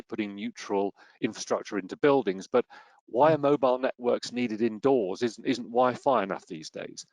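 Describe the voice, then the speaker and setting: Male host, lowish voice, brisk polished delivery, dry close sound. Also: vocal fry, dry recording, low-quality recording. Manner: polished, formal introductions. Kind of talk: interview hosting.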